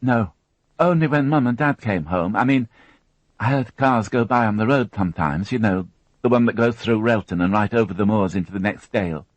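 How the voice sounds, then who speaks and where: A man's voice: lowish voice, dry recording, dull audio, voice pushed up, lightly acted. Man playing a shaken, tearful young woman. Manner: hesitant, halting, repeats words.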